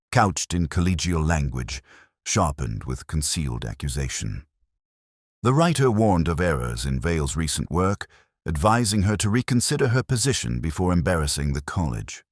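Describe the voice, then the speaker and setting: A man voicing a brooding, introspective police detective who recounts a case in measured, grave, literary first-person narration for a mystery audio drama. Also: deep voice, dry recording, clean studio sound.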